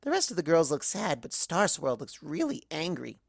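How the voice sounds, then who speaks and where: Creaky, lively woman storyteller. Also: low voice, normal speed, no room sound, studio quality. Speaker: fan fiction narrator. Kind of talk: expressive character storytelling.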